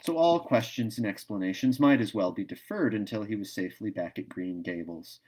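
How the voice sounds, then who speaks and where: A man's voice: on the low side, fairly quick, a little mellow. A man narrating a classic novel as a storyteller reading aloud.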